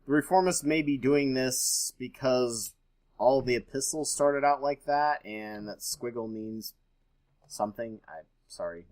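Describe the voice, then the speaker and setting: A man's voice: mid-range voice, unhurried, darkish tone. Skeptical man reading aloud and commenting. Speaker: host.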